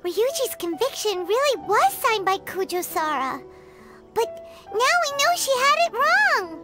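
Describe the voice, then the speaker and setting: Tiny game fairy sidekick: extremely high childlike squeak, excitable and curious, slow swooping delivery over music. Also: female voice, exaggerated voice. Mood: curious, puzzled. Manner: exclamatory, wondering aloud. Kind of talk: video game voice acting.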